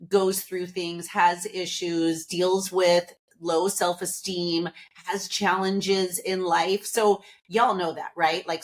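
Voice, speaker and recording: A woman hosting a podcast, talking to listeners in a casual, upbeat and chatty motivational monologue, seated indoors. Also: on the low side. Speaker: podcast host.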